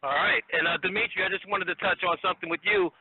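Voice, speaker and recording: A man working as a press interviewer, asking questions in a halting, repetitive way on a conference call. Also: high-pitched, steady tone, breathy edge, no echo. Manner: halting, repeats words while forming questions. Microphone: telephone conference call. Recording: muffled tinny phone line.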